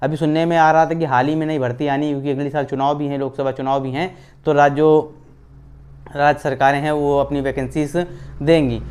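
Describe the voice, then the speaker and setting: A man speaks in a smooth, resonant voice that sits a little high. He explains things informally as an online host, going back over key phrases to stress them and regularly checking that listeners follow. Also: level tone, a little fast, a little mellow. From India. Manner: repeats phrases for emphasis, often checks in with listeners. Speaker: host of an exam-news channel. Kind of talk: informal explainer of job recruitment updates.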